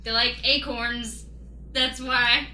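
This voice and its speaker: A woman's voice: fairly high pitch, level tone, takes it slowly, bright, forceful tone, slight background noise, exaggerated voice. A woman playing an impish, nosy, teasing male friend who rambles in a folksy way in an improvised comic radio play. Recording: roomy, echoing sound.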